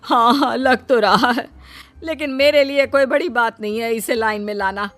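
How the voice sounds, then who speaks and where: A woman voicing a bossy, scheming older mother-in-law in a cartoon who calls out loudly and boasts. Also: a little high, moderate pace, close to an everyday voice. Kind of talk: Hindi cartoon voice acting.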